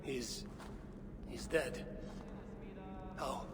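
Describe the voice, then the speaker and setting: An actor playing a proud, solemn Norse god and warrior in a video game, speaking in a grave, formal manner. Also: male voice, mid-range voice, drawn-out words. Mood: stern, shaken. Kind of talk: video game cutscene acting. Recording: long reverb, clear background noise.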